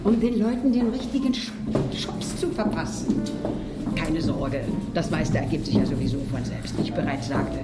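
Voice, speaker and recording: A woman's voice: deep voice, big pitch swings, normal speed. A woman playing a glib, cynical and persuasive political strategist in a conversational drama scene. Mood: breezy, coaxing. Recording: roomy echo, music behind.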